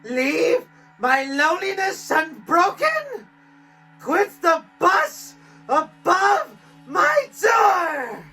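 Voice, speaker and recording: A man's voice: very high-pitched, sing-song, very slow, gravelly, bright, forceful tone, raised voice, faint music behind, heavily acted, rough recording. A man putting on a character voice as a tormented, desperate narrator, reciting a gothic horror poem with theatrical intensity. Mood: furious despair. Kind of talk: dramatic poetry recitation in impersonated character voice.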